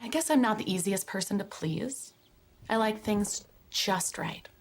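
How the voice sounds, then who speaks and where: A particular woman in a TV commercial, with a middling pitch. She is satisfied only when things are just right, speaking over faint music. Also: expressive, measured pace. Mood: particular, pleased.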